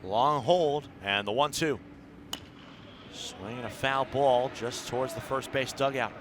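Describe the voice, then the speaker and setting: Male baseball play-by-play voice, mid pitch, clipped live radio calls, steady outdoor background noise. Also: a little slow. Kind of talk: live radio sports commentary. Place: outdoor ballpark broadcast.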